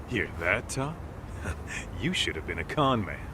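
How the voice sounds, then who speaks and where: A man's voice: deep voice, big pitch swings, slow pace, noisy background, heavily acted. A man playing a brash, easygoing detective sidekick in a video game dub, slangy, teasing and confident. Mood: jokey, confident.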